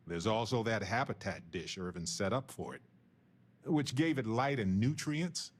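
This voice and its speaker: An actor playing a witty, sentimental space explorer and scientist in a video game, with a wry, playful, musing manner. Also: male voice, on the low side, moderate pace, close to an everyday voice. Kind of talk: video game dialogue acting.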